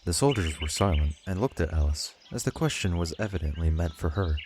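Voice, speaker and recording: A man's voice: low voice, animated, fairly quick, noisy background. A man narrating a scripted audiobook story.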